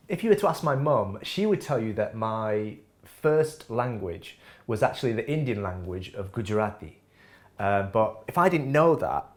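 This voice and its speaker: Male host, kitchen setting, reflective and questioning, pitch that rises and falls a lot. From England. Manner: musing, questioning, breaks into laughs. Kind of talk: scripted reflective talk to camera. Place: home kitchen.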